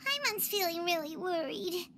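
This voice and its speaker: A woman voicing a tiny, excitable floating girl companion in a game, squeaky and fretful, speaking of herself in third person. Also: normal speed, slight background noise, full character voice. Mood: anxious. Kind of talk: game cutscene voice acting. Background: game music.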